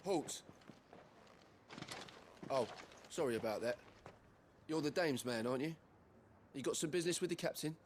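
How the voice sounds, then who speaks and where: A man's voice: fairly high pitch, fairly quick, pauses often, slight background noise, natural voice. A man as a plain-spoken garrison guard. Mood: challenging, apologetic. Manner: plain-spoken, informal, deferential. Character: dutiful, apologetic garrison soldier on guard. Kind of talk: scripted video game drama.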